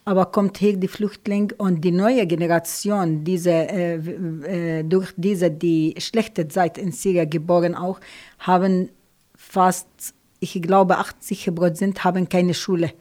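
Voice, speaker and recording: A woman with an Arabic accent speaking German as a second language in a radio studio interview, halting, with grammar slips and frequent fillers. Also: fairly low pitch, steady tone, dark tone. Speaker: studio guest, volunteer refugee helper.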